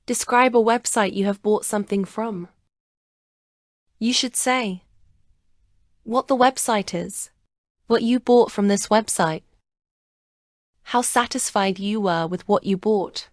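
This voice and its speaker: A woman acting as examiner, reading speaking-test questions clearly and slowly. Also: mid-range voice, no echo, studio quality. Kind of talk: scripted exam questions.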